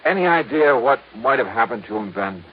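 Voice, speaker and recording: A man's voice: medium pitch, expressive, brisk, close to an everyday voice. An American actor playing a wry, cool-headed male insurance investigator in 1950s radio detective style. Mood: polite, probing. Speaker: lead actor and narrator. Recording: muffled, noisy vintage radio recording.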